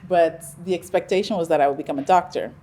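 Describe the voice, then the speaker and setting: Low-voiced Nigerian-born woman, measured. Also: mellow. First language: Yoruba. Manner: reflective, measured, frequent ums and restarts. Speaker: interview guest. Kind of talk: conversational interview.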